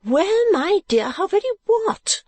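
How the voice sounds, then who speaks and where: A fussy middle-aged mother as a woman narrator acts her out, high and swooping in pitch. She gushes and exclaims in a storybook reading, scatterbrained and quick to take offence. The sound is dry and close. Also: huge pitch swings, no room sound. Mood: argumentative. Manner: gushing, emphatic, exclamatory. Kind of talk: dramatised classic novel reading.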